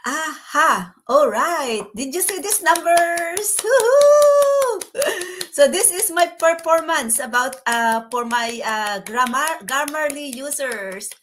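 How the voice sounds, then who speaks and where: A chatty, cheerful woman with a Filipino accent, whose first language is Tagalog, talking casually to her viewers. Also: high voice. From Philippines. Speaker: vlogger and business mentor.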